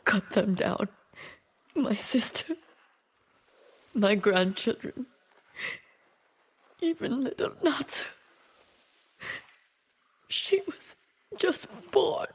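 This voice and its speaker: Proud, grieving older noblewoman warrior for a game drama: unhurried, grave, formal, unyielding. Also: a little high, sing-song, slightly rough, exaggerated voice. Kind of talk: dramatic video game cutscene acting.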